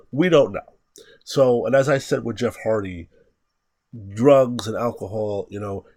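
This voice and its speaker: Low-voiced man rambling candidly. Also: a little mellow, no echo. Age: 30s. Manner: rambling, candid, thinks aloud with many "you know"s. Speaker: podcast host. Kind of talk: casual solo commentary.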